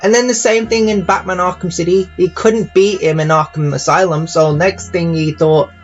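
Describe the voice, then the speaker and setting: A man with a somewhat higher-than-average voice talks casually through his opinions on games. His delivery is halting and rambling, doubling back on phrases. The sound is slightly dull and lo-fi, with music playing underneath. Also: steady tone. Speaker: YouTuber reviewing games. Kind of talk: casual rambling audio-log commentary.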